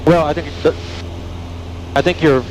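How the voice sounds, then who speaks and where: A man's voice: fairly high pitch, steady tone, measured pace. A man coaching casually and reassuringly as safety pilot over a cockpit intercom in flight. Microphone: aviation headset. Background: aircraft engine noise.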